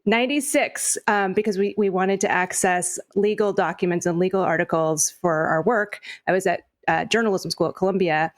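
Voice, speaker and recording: A woman's voice: on the low side, moderate pace, hardly any pauses. Upbeat chatty woman podcast host. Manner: upbeat, chatty, laughs easily. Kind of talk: casual podcast chat and sponsor read.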